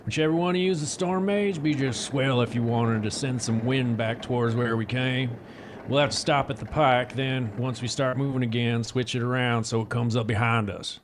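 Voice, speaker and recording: A man's voice: medium pitch, normal speed, background noise, lightly acted. An actor playing a shrewd, wary smuggler in an audio drama, blunt and folksy in his talk. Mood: brusque. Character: gruff, wary, shrewd male smuggler with stubble and moustache.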